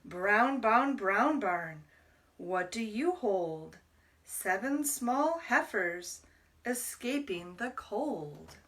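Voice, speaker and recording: A woman reading a picture book aloud to young children as a library storyteller, deliberately, pausing to explain words. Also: medium pitch, animated, a bit bright, distant mic, slight background noise.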